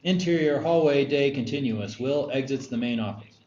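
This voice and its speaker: A man's voice: medium pitch, moderate pace, a little mellow. Man narrating a screenplay, echoey room. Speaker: narrator reading stage directions. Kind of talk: scripted narration, screenplay table read. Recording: dull echoey room, noisy.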